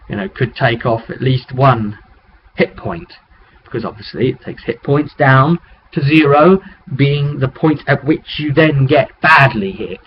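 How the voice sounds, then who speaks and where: A man talking as a game master, recapping a tabletop roleplay session in a rambling, conversational storytelling way on a webcam microphone. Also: medium pitch. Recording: dull webcam audio with background noise.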